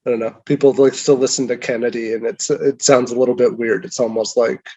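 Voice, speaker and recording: A man's voice: medium pitch, steady tone, fairly quick, a little mellow. A man chatting casually on a podcast, rambling and hedging with lots of filler words. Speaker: podcast co-host. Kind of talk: casual chat.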